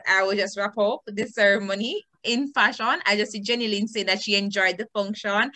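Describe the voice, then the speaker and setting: A woman's voice: medium pitch, pushed and bright, no room sound. A young woman in her twenties moderating an online alumni event, upbeat and effusive. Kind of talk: event hosting on a video call. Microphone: video call.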